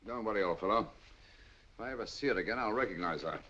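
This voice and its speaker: An actor playing a calm, shrewd male detective in a 1940s film, with a cool, measured delivery. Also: lowish voice. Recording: dull old film soundtrack with hiss.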